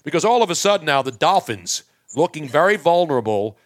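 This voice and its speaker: Lively, rambling older male talk host. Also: on the high side, brisk. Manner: rambling and jokey, loses words mid-sentence. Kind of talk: loose late-night radio banter.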